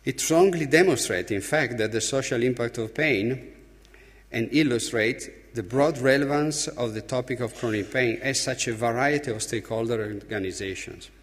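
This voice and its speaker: Man, Italian accent, conference speech, medium pitch, emphatic pauses, echoing large hall. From Italy. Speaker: speaker at a symposium opening. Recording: reverberant hall, long echo.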